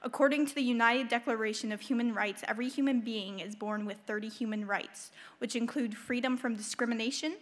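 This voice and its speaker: A woman's voice: on the high side, steady tone, a little fast, few pauses. A young woman delivering a prepared speech into a podium microphone in an auditorium. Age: teens or young adult. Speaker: student public speaker at a school board meeting. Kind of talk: prepared speech read aloud. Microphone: gooseneck podium microphone.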